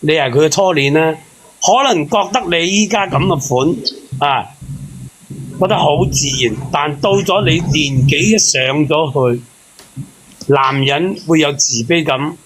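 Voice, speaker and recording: A man's voice: on the high side, unhurried, a bit bright, rough recording. A man with a Hong Kong Cantonese accent giving earnest, explanatory relationship advice in casual call-in talk. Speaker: panel member giving relationship advice to a caller.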